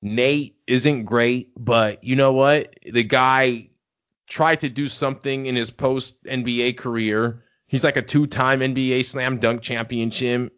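A man's voice: medium pitch, steady tone, measured pace, fairly bright tone, smooth, dull audio. A man co-hosting a casual podcast, talking in a laid-back, rambling way with lots of fillers. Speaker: podcast co-host. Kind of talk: casual chat.

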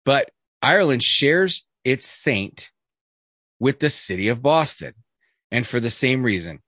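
A man in a casual podcast chat, reading a list aloud and breaking off into jokey asides. His voice sits at an ordinary middle pitch, full of "uh"s and restarts. The sound is dry and close, with no room around it. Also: big pitch swings, measured pace, fairly bright tone, dry recording. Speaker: podcast co-host.

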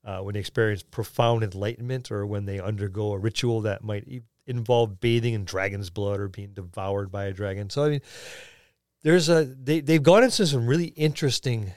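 A man's voice: fairly low pitch, brisk, a little mellow, no echo, clean studio sound. A man co-hosting a podcast in a casual, conversational discussion of tabletop gaming. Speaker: podcast co-host.

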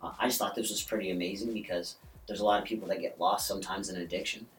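A man co-hosts a podcast and tells a story loosely, full of ums and restarts. His voice sits on the low side. He sits some way from the mic in a roomy space, with soft music behind. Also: fairly even intonation, fast. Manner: rambling, with many ums and "basically". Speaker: podcast co-host. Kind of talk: casual storytelling chat. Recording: roomy echo, distant from the mic.

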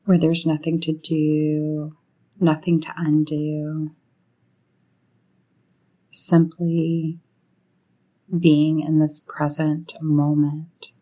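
A woman leading a guided meditation in a soothing, unhurried manner, pausing often between short phrases. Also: sits low, monotone, warm tone, poor audio.

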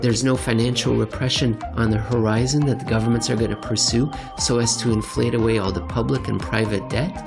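A male podcast host with a mid-range voice and some vocal fry. He narrates with wry, comic drama and slips easily into casual commentary. Faint music plays behind him. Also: mid-range voice, steady tone, fairly quick, few pauses.

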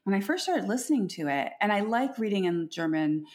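A woman's voice: medium pitch, very animated, brisk. A woman interviewer in casual conversation, chatty and affirming as she shares stories and asks questions. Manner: warm, affirming, chatty storyteller.

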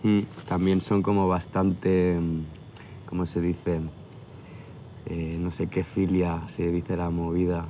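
A man's voice: low voice, slow pace, a little hiss or hum. A man of about thirty from near Barcelona, a tattoo artist chatting casually and rambling a little in an outdoor interview. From from near Barcelona, Spain. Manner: relaxed, rambling, with hesitations. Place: outdoor hilltop viewpoint at sunset. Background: crickets and outdoor ambience.

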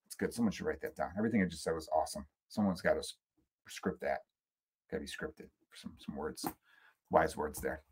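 A man's voice: rumbling low, a little fast, darkish tone, slightly rough. An American man in his late thirties hosting a comedy podcast, delivering a casual, profane, emphatic rant. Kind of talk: casual ranting monologue.

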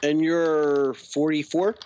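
A man's voice: fairly high pitch, takes it slowly, slight background noise. A man in his thirties ranting casually with lots of swearing as a podcast co-host. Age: 30s. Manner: profane, excitable ranting. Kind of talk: casual chat. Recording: dull lo-fi recording.

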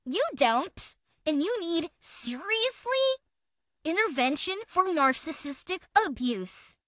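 A woman's voice: high-pitched, fairly bright tone, no echo, studio quality, pitched above the performer's own voice, full character voice. A woman voicing a self-righteous, aggrieved, menacing woman in an exaggerated, accusatory dramatic reading of text messages.

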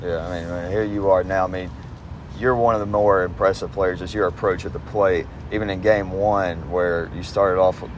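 A man's voice: deep voice, steady tone, normal speed. A man hosting a casual sports podcast interview, laid-back and slangy. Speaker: podcast host. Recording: clear background noise.

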